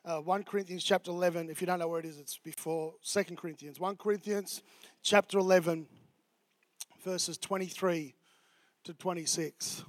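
Australian male pastor, higher register, chatty filler-heavy preaching, dry close mic. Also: steady tone, moderate pace, no room sound. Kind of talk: sermon.

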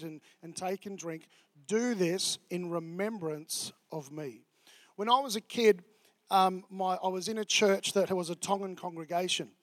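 An Australian pastor with a fairly high voice delivers a sermon in a loose, conversational style, dropping in plenty of ums and uhs. The recording is dry and close, with almost no room sound. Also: male voice, no echo. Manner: casual, chatty preaching with many fillers.

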